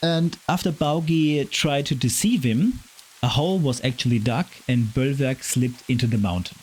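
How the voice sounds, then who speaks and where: A man with a raspy voice hosting a podcast, retelling myths in a chatty way, stumbling over hard names. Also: medium pitch, expressive, moderate pace, slight background noise, rough recording. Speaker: podcast host. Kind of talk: casual storytelling of Norse myth.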